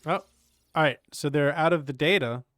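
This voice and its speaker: A man's voice: fairly high pitch, normal speed, fairly bright tone, no echo, clean studio sound. A middle-aged man chatting casually as he livestreams a game.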